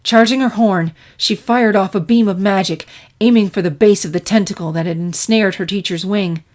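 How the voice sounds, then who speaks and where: A woman reading scripted fiction aloud as an expressive story narrator. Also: medium pitch, normal speed.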